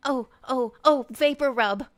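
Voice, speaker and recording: Bubbly young woman with a smooth voice. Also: high voice, a little slow. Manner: bubbly, laughs often, swears casually. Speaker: singer, guest. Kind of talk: casual chat.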